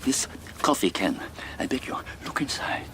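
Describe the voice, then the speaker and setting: An actor playing an older fugitive war criminal, courtly, greedy and pleading in a halting radio drama performance. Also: male voice, medium pitch, animated, sharp tone, in a whisper. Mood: desperate pleading. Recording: noisy radio drama recording.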